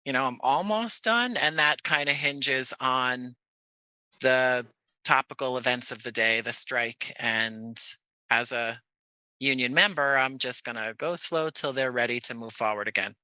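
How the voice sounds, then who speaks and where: Bright, forceful American man in conversation. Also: medium pitch, a little slow, clear, resonant tone, no room sound, rough recording. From Jersey City, New Jersey, United States. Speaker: interview guest, playwright and actor. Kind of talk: conversational podcast interview.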